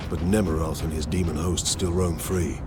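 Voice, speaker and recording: An actor playing a resolute space marine captain in a video game, commanding and grave, with clipped delivery. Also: male voice, low voice, steady tone, moderate pace, over loud music, natural voice. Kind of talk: cinematic video game acting.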